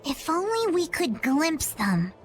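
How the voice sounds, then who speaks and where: A woman's voice: high-pitched, sing-song, slow pace, faint music behind, exaggerated voice. A woman voicing a cheerful, bold little pirate girl with quaint seafaring speech in a video game. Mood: bold, carefree. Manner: quaint seafaring turns of phrase. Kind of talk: English video game voice acting.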